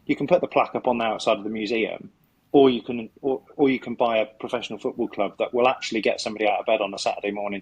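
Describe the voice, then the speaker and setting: A man's voice: lowish voice, breathy edge, no room sound, poor audio. A man co-hosting a football podcast, asking long, thoughtful questions. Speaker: podcast co-host. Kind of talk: podcast interview, casual talk. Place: lives in Sheffield.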